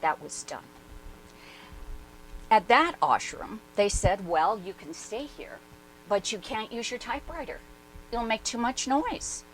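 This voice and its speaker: A woman playing a skeptical, hard-boiled former war correspondent, speaking in a candid, halting first-person monologue for a dramatic reading. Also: fairly high pitch, a bit bright, a little hiss or hum, poor audio. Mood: matter-of-fact.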